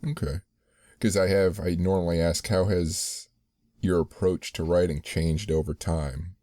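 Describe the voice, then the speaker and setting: A man with an extremely deep, dark voice hosts an interview, recorded dry and close. His questions wander and restart, and he fills the gaps with soft hmms. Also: fairly even intonation, measured pace, no echo. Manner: rambling questions, frequent hmm backchannels. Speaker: interviewer host. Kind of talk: interview conversation.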